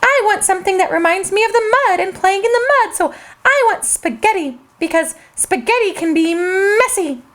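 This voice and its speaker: A woman's voice: very high voice, animated, moderate pace, a bit bright. A woman voicing a cheerful young girl pig in a storybook who loves mud and messy food. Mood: eager.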